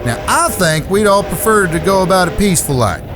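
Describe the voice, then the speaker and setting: A man's voice: high-pitched, sing-song, a little fast. A man playing a folksy, cocky Western gunman in an audio drama, mock-polite, with an American Southern drawl. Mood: folksy warning. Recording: music bed behind the voice.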